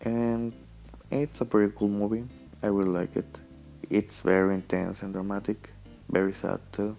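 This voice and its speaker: Young adult male, gravelly and dark-toned, meandering casual audio-log delivery, faint guitar underneath. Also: lowish voice, flat delivery, moderate pace, rough recording. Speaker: vlogger. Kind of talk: casual rambling audio diary. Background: quiet plucked guitar music.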